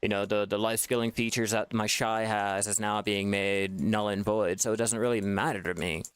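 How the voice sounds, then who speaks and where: A man's voice: fairly low pitch, fairly even intonation, moderate pace, clean tone, no room sound. Profane, frustrated man ranting. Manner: frustrated, profane, repetitive restarts. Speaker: gaming video creator. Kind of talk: ranting gameplay commentary.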